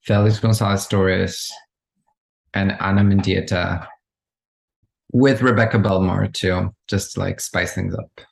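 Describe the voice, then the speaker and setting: A man answering an interview question in a slow, relaxed way. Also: low voice, vocal fry. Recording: roomy echo.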